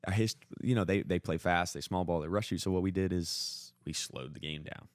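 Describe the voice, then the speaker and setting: A young man casually telling sports stories among friends as a podcast co-host, in an informal, slangy, halting way. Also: low-pitched, dry recording, clean studio sound. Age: young adult.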